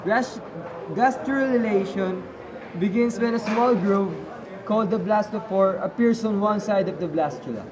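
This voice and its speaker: A man's voice: sits high, measured pace, poor audio. A man presenting as a student, formally reading a scripted textbook-like explanation. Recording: chatter of people in the background.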